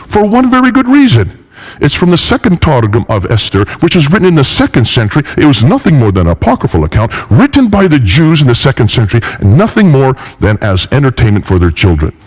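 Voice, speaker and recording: A man in middle age delivers an animated, argumentative lecture. His pitch swings widely as he drives points home, posing rhetorical questions and repeating phrases for emphasis. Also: low-quality recording. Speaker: lecturer in religious history.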